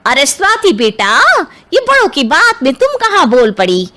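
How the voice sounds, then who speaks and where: A woman's voice: high voice, wildly swooping pitch, moderate pace, bright, forceful tone, full character voice. A woman voicing a bossy, effusive middle-aged aunt in a Hindi cartoon. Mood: pushy, scolding. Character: bossy, meddling middle-aged Indian aunt arranging a match.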